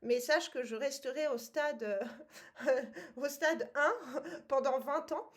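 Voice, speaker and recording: Woman co-host, higher voice, halting and chatty. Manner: hesitant, many fillers, laughs often. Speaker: podcast co-host. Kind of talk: casual book-club chat.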